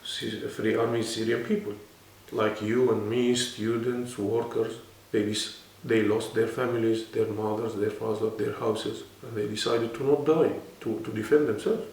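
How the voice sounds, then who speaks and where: A man's voice: on the low side, level tone, normal speed, hardly any pauses, darkish tone, a little hiss or hum. A man with an Arabic accent, speaking non-native English and explaining earnestly as a guest in a serious interview. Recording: echoey room, mic at a distance.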